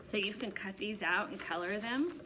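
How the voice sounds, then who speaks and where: A woman teacher reading a storybook aloud to young children and giving simple directions in an expressive way. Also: mid-range voice, normal speed, very noisy.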